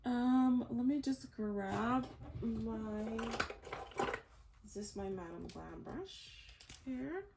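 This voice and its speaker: A woman hosting a nail art tutorial, chatty and gushing, slightly hoarse as she loses her voice. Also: on the high side, animated, drawn-out words, very noisy. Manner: chatty, gushing, self-correcting. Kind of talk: casual tutorial chat.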